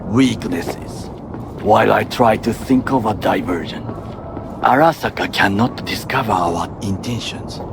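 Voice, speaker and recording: An actor playing a stern, middle-aged Japanese former bodyguard in a video game, with a Japanese accent and formal, measured phrasing. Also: male voice, on the low side, background noise. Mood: guarded, focused.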